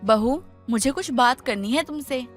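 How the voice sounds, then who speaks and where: A woman's voice: fairly high pitch, measured pace, faint music behind, natural voice. A woman voicing a stern, protective older mother-in-law in a Hindi story cartoon, firm and admonishing. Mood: firm, warning. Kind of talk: Hindi animated story dialogue.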